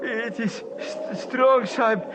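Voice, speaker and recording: An actor playing a grave, respectful, fatalistic Himalayan guide in a 1950s radio drama, speaking in halting, formal phrases on an old muffled recording. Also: male voice, extremely high pitch, noisy background. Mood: pained, shaken. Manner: halting, solemn, formal foreign-sounding phrasing.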